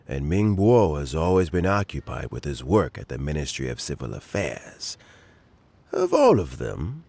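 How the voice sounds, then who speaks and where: An actor playing an elderly, kindly mentor official in a video game, speaking in a gentle, reflective and deliberate way. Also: male voice, low-pitched, gravelly, exaggerated voice. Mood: measured, explaining. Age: older. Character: elderly kindly mentor official nearing retirement, fond of fishing. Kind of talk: video game dialogue acting.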